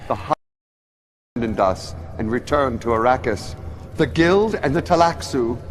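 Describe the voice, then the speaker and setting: A man playing a grave advisor to a noble house in a video game cutscene, speaking in a solemn, deliberate, dramatic way. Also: medium pitch, animated, slight background noise, lightly acted. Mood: grim urgency. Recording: long hall reverb, music underneath.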